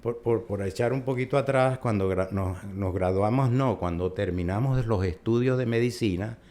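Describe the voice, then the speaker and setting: Older Venezuelan man, low voice. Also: moderate pace, warm tone. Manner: chatty storyteller, recounts at length. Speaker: urologist guest. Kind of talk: conversational interview.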